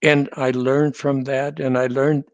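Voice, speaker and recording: Older man in his 70s, guest, measured storyteller with dry humor, dry close sound. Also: medium pitch, level tone, dry recording, lo-fi sound. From North Dakota. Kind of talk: casual conversation, storytelling.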